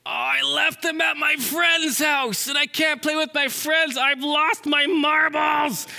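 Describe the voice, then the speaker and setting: A man voicing an excitable, stressed squirrel in a children's story, whining and exclaiming in frustration. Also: extremely high pitch, slow pace, creaky, raised voice, pitched above the performer's own voice, exaggerated voice. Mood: frantic, upset. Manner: whiny exclamations, screams when upset.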